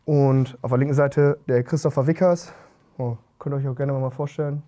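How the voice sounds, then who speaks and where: A man's voice: medium pitch, fairly even intonation, fairly quick, dark tone. A young man hosting a casual podcast chat, speaking in a blunt, colloquial way. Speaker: podcast host.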